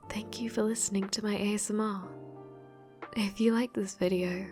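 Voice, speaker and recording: A woman's voice: mid-range voice, fairly even intonation, moderate pace, a little mellow, soft background music. Woman giving an ASMR sign-off. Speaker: ASMR channel host. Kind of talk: soft scripted sign-off.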